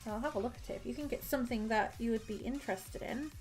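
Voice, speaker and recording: A woman's voice: a little high, fast talker, a little mellow, faint music behind. A woman streamer chatting casually with her viewers while she cross-stitches, rambling and laughing often.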